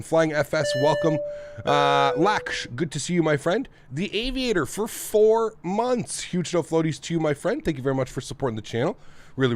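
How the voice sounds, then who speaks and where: Upbeat nasal male stream host. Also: fairly high pitch. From North America. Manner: upbeat, friendly, greets viewers one by one. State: congested throat from the weather. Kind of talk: casual live stream chat, greeting viewers. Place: home flight sim setup.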